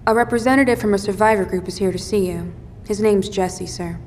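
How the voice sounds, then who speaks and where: An actress playing a helpful, matter-of-fact military lieutenant in an audio drama, speaking briskly and plainly. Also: female voice, mid-range voice, darkish tone, a little hiss or hum, close to an everyday voice. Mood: businesslike. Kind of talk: naturalistic audio drama dialogue.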